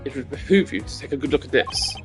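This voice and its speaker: A man voicing a proud, stern male prosecutor in a video game, speaking in formal, measured accusations. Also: mid-range voice, lively intonation, music in the background, lightly acted. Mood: cool, composed.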